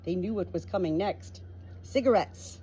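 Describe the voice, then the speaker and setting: A woman with a slightly husky voice narrating a storybook from a script. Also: lowish voice, moderate pace, mellow, slight background noise, rough recording. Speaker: audiobook reader.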